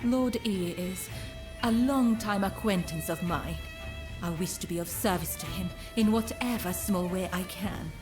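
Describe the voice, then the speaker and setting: A woman's voice: fairly low pitch, a little slow, close to an everyday voice. An actress playing a refined, discreet woman informant in a samurai-era video game, speaking politely and persuasively over music. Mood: confiding. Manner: polite, formal, softly persuasive. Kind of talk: video game cutscene acting.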